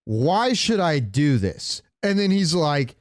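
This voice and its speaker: A man with a slightly high voice talks casually as a podcast co-host. He is animated and slangy as he retells things, recorded close and dry. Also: slow, no room sound. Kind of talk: casual gaming chat.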